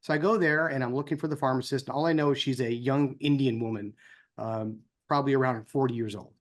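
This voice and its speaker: A man's voice: medium pitch, fairly even intonation, quick pace. An American man giving an informal, conversational speech as a co-founder, in the manner of someone telling personal anecdotes. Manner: conversational, acts out dialogue in anecdotes.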